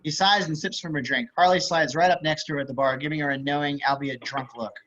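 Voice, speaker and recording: Bright, high-voiced man reading stage directions. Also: fairly even intonation, fast. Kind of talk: screenplay table read narration. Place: group video call. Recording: slightly dull video-call audio.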